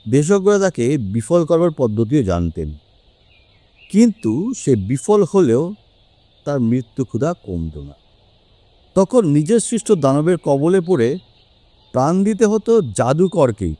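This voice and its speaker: A man playing a learned detective, deliberate and theatrical as he expounds in an audio drama. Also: a little high, warm tone, dry recording. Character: learned male detective solemnly expounding an occult mystery. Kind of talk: Bengali audio story dramatic acting.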